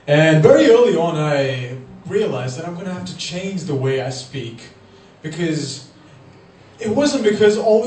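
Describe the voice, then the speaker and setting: A man from India with an urban American accent performing stand-up comedy, slangy and profane, with theatrical pauses. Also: fairly high pitch. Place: live comedy club stage. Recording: roomy club, distant mic, loud noise.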